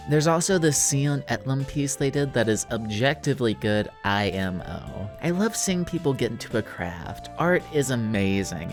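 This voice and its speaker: A man with a mid-pitched voice narrates like a YouTube video essayist. His delivery is casual but scripted, wordy and full of slang, with a wry, self-deprecating streak. Music plays behind his voice. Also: vocal fry.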